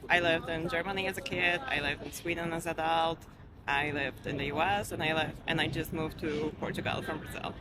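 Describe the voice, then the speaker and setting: A man's voice: on the high side, fairly even intonation, fairly quick. A man from Poland with a Polish accent, a native Polish speaker, answering a street interview directly and plainly. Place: outdoors on a city street. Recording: busy street crowd and traffic.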